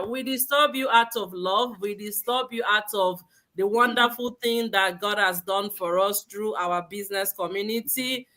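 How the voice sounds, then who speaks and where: A woman's voice: mid-range voice, bright, forceful tone. A woman from Nigeria hosting a live online webinar, upbeat and exhortative, asking many questions of the audience. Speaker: host. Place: lives in Sweden.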